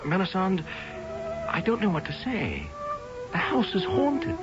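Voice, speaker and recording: An actor playing a placating young English lieutenant in a radio drama, sheepish and doting. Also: male voice, high voice, wildly swooping pitch, a little slow, music in the background, full character voice. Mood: flustered, pleading. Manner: stammering, sheepish, sugary. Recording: dull vintage radio drama recording.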